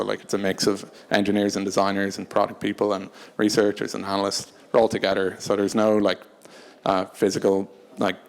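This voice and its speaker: A man speaking unscripted on a discussion panel, hesitant and halting, often restarting his phrases. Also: on the low side, vocal fry. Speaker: panelist from a tech company.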